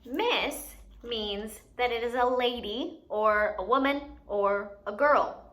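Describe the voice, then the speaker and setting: Bright, high-pitched woman teaching young children. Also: slow pace. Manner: enunciates for young children, asking them questions. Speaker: children's story time host and teacher. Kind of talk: children's educational activity, instructing.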